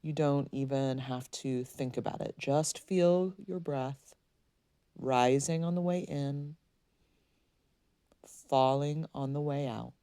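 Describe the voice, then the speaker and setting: A woman leading a guided meditation, speaking in a soothing, gently instructing way with unhurried pauses. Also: rumbling low, dry recording.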